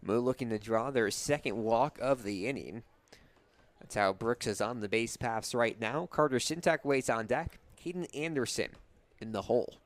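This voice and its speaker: A man's voice: mid-range voice, moderate pace, dry recording. A young man doing live radio play-by-play commentary of a baseball game as the announcer. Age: young adult.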